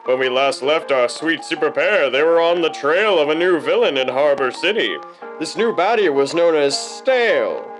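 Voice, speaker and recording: A man's voice: fairly high pitch, very animated, moderate pace, faint music behind, poor audio. A man playing a dramatic old-time serial announcer, narrating in a declamatory style.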